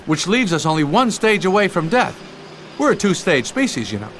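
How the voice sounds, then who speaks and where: A man's voice: high voice, sing-song, moderate pace, a bit bright, slight background noise. A man voicing a wry, restless young bee fresh out of college, glib and casual. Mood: wry, skeptical.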